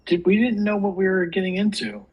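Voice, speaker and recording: A man's voice: sits high, steady tone, fairly quick, lo-fi sound. A man on a video call podcast, joking dryly and teasing the host. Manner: dry, teasing. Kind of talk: casual chat over video call.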